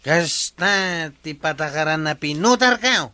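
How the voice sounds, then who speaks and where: A man's voice: a little high, lively intonation, unhurried, bright, forceful tone, clean tone, no echo, full character voice. A man with an Ilocano accent playing a swaggering village watchman in a comedy drama, bossy and brash as he throws his weight around. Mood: bullying. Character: swaggering bully, village watchman abusing his uncle's position.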